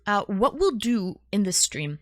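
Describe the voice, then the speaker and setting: A woman with a Canadian accent speaks at an ordinary mid-range pitch, recorded close and dry with little room sound. She chats informally as a livestream host, talking straight to her viewers and often repeating short phrases. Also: big pitch swings, a little slow, no echo. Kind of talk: casual livestream chat, greeting viewers.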